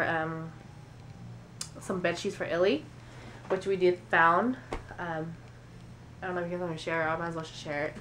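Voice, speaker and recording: Low-voiced young American woman, slightly congested. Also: a bit bright, slight background noise, rough recording. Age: 20s. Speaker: beauty and shopping vlogger. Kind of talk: casual chatty shopping haul to camera. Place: indoor room at home.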